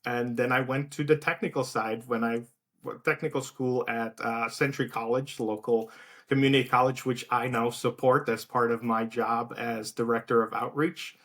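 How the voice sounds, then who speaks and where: A man's voice: medium pitch, normal speed. Light south african accent, guy in his thirties, easygoing guest, lots of ums. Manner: relaxed, many fillers and restarts. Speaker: guest, outreach director. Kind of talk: casual interview talk.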